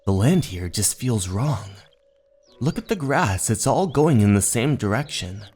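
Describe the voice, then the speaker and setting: Jumpy young male hiker, played by a man narrating, stammering, exclamatory, very animated intonation, horror manga dub. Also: medium pitch, measured pace, breathy edge. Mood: uneasy, weary. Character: alarmed young male hiker, bewildered and excitable. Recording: music under the voice.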